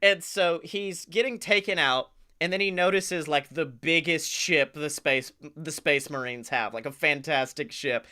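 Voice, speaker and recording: A man co-hosting a comedy podcast, pitching a story in casual, jokey, sweary talk. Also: a little slow, few pauses, pushed and bright, smooth.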